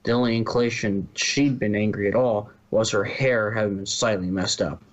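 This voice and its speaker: A man's voice: on the low side, steady tone, moderate pace, highs cut off. A man reading story narration from a script, now and then stumbling and rereading a phrase. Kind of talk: scripted fan fiction narration.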